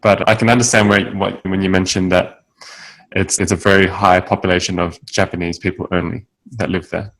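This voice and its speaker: A man hosting an interview in a friendly way, with quick nods and affirmations. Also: low voice, level tone, a bit bright, rough recording. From Australia. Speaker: interview host. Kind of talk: casual interview talk.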